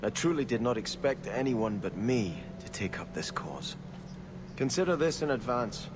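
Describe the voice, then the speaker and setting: A man's voice: mid-range voice, expressive, moderate pace, lightly acted. An actor playing a grave exiled nobleman in a fantasy game, speaking formally and earnestly. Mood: grateful, grave. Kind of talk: fantasy video game acting. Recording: noisy game capture.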